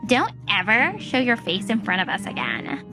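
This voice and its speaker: A woman's voice: medium pitch, big pitch swings, moderate pace, over music, lightly acted. A woman playing a haughty, condescending, sneering rich in-law in a manga dub. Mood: dismissive. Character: haughty, mocking rich female in-law, cruel snob.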